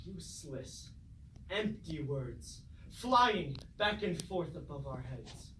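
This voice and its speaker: A man playing a weary, disillusioned robot warrior in a staged tragedy, subdued, halting and solemn. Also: a little high, expressive, bright, forceful tone, a little hiss or hum, heavily acted. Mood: bleak, resigned. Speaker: stage actor. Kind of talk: staged reading of mock Greek tragedy. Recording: very distant, echoey stage recording.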